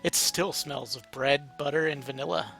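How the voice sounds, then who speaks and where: A man's voice: mid-range voice, normal speed, creaky, bright, forceful tone, faint music behind. A man narrating a story aloud in a casual, amateur, playful way. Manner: informal, playful.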